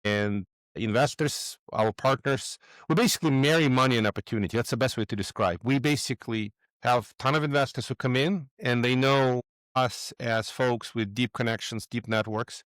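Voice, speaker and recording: Man, smooth and confident. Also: medium pitch, normal speed, a bit bright, no echo. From Moldova. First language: Russian. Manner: confident, jokey, explanatory. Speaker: real estate investor guest. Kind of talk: podcast interview.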